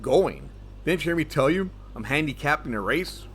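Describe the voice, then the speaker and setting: A man's voice: on the high side, very animated, normal speed, a bit bright. A man playing a sarcastic, defensive, grumpy gambler in a scripted comedy audio drama. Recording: clear background ambience noise.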